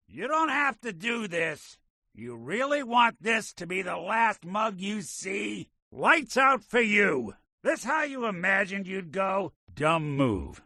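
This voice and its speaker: A man's voice: high-pitched, bright, forceful tone, no echo, heavily acted. An actor voicing a world-weary male robot detective in a game, talking in dry, hard-boiled wisecracks. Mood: combat taunts. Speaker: game companion character. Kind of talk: video game voice acting.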